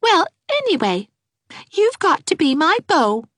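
A woman voicing a spoiled, stubborn seven-year-old girl in a high, drawn-out, whining voice for an audiobook. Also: big pitch swings, bright, forceful tone, no room sound, studio quality, exaggerated voice. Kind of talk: audiobook character voice.

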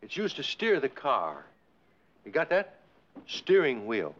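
An actor playing an earnest, easily flustered farmer husband who explains things with patient exasperation, in 1960s sitcom style. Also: male voice, medium pitch, huge pitch swings, slow, a bit bright, exaggerated voice. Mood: explaining. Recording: dull lo-fi 1960s TV soundtrack.

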